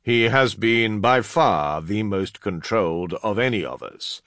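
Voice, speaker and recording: Male reader as stern naval officer, sci-fi audiobook. Commanding, clipped, bright, dry sound. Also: lowish voice, lively intonation, a little slow, dry recording. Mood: heavy, subdued.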